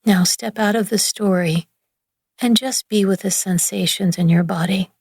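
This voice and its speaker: A woman leading a guided relaxation meditation in a gentle, soothing, hushed manner with long pauses between phrases. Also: mid-range voice, husky, no room sound, studio quality. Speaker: yoga nidra meditation guide.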